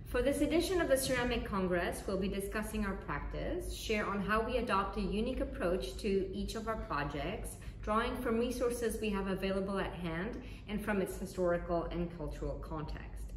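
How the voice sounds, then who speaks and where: A woman in her forties from Hong Kong, raised there, giving a crisp scripted introduction of her practice while seated in a large studio. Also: mid-range voice, animated, normal speed, few pauses, slight background noise. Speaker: ceramic artist. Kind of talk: promotional self-introduction. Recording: roomy studio sound with faint ambience.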